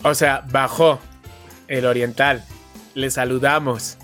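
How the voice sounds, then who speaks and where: A man with an accent from Spain co-hosting a casual chat podcast, telling anecdotes in an expressive, dramatic way and acting out dialogue. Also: mid-range voice, takes it slowly, soft background music. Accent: Spanish from Spain. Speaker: podcast co-host.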